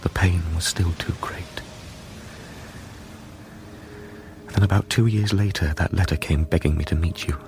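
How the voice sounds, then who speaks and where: A man's voice: sits low, brisk, noisy background. An actor playing a lovelorn, grieving man addressing his lost beloved in an intimate, wistful, brooding radio play monologue. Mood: sorrowful. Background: music.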